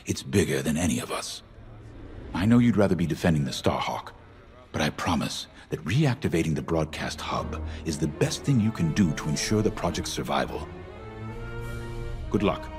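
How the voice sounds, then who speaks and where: A middle-aged rebel commander in a game, really low voice, grave and measured, music behind. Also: male voice. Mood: reflective, earnest. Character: grave, principled male rebel fleet commander. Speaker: military commander. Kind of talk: video game cutscene acting.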